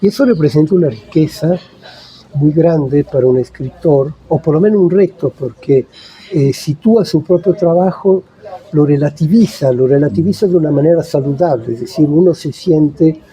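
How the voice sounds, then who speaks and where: A man in his seventies, a native Italian speaker, giving thoughtful, measured interview answers with frequent pauses. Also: a little high, mellow, rough recording. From Italy. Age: 70s. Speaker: guest writer.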